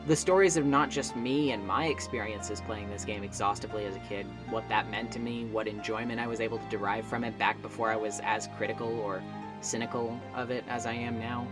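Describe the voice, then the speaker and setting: Wry male video essayist over music. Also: medium pitch. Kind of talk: scripted video essay narration. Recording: music playing behind the voice. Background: background music.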